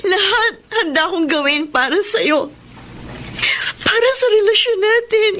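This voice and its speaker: A woman's voice: very high voice, expressive, a little slow, fairly bright tone, a little hiss or hum, exaggerated voice. A woman playing a lovesick, pleading young woman in a Filipino radio romance drama, earnest and tearful. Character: lovesick, pleading young woman clinging to her cheating boyfriend.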